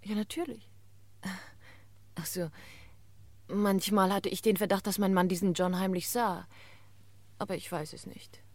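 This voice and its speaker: An unhappy, detached widow in a radio crime play. Her female voice is of middling pitch, formal and measured, in a dry, close recording. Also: steady tone, dry recording. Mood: wistful, resigned. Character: unhappy, detached widow, former actress, with suppressed bitterness.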